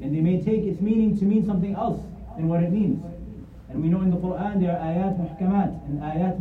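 A man's voice: high voice, a little hiss or hum. Earnest man teaching, tinny and echoey. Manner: earnest, repeats points, recites Arabic verses. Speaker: Islamic lecturer. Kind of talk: religious lesson. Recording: tinny, echoey, distant phone-like sound.

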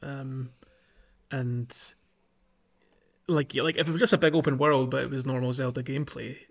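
A man's voice: mid-range voice, fairly even intonation, moderate pace, gravelly, muffled recording. A man co-hosting a podcast, chatting casually and with enthusiasm about a video game. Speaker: podcast co-host.

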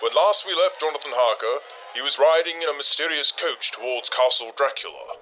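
A man's voice: a little high, brisk, bright, forceful tone, poor audio. A man playing a dramatic announcer of old-time radio serials, with a theatrical storytelling manner. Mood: suspenseful. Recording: music behind the voice.

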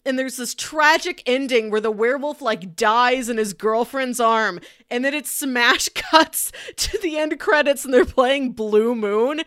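A woman's voice: on the high side, unhurried, hardly any pauses, fairly bright tone, dry recording, studio quality. A woman co-hosting a film podcast, chatting casually and animatedly and quoting her own reactions. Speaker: film review podcast co-host. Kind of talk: casual chat about a film.

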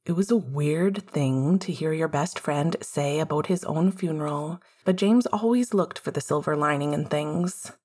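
Low, husky woman narrating fiction. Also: a little slow, few pauses, a little mellow. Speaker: audiobook narrator.

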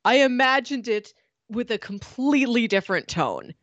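A woman co-hosting a podcast in casual chat, quick to laugh, giving short reactive replies. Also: a little high, expressive, no echo, muffled recording. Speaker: podcast co-host.

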